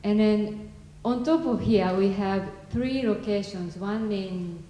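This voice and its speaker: A woman's voice: medium pitch, slow pace, heavy background noise. Woman hosting a gathering, informal. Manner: informal, laughs often. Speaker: host of the event. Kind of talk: introducing guests. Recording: echoey room.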